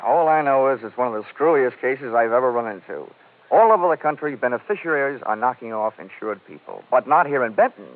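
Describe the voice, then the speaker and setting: An actor playing a wry, tough, cocky American insurance investigator in a vintage radio drama, with clipped, sardonic delivery. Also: male voice, mid-range voice, expressive, a little fast, natural voice. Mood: wry, businesslike. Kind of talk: 1940s-50s radio detective acting, first-person narration. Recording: muffled vintage radio recording.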